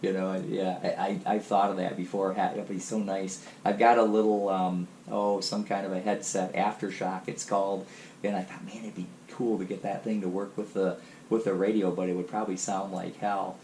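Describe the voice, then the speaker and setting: A man with a cold chatting casually over ham radio, relaxed and full of fillers. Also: deep voice, moderate pace. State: has a cold. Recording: echoey room, faint noise.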